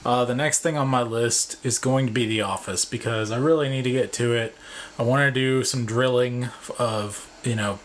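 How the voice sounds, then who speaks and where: A man's voice: mid-range voice, slight background noise. A man speaks in a mid-range voice with an informal vlog delivery. He explains his tips and plans casually, rambling a little as he thinks aloud to his viewers. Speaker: vlogger, video editor. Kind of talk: casual vlog talk and tutorial.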